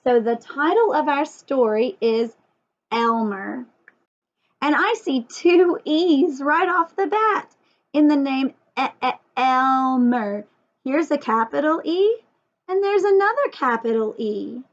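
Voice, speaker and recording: A woman's voice: high voice, lively intonation, slow. A woman teacher leading a story time lesson for young children, asking lots of questions and emphasising key words. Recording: dull lo-fi sound.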